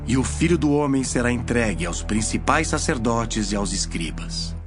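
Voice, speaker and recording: An actor with a Brazilian accent playing a solemn, authoritative male teacher in a measured, declamatory scripture drama. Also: medium pitch, lively intonation, natural voice. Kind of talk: dramatized scripture reading in formal Portuguese. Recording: music bed behind the voice.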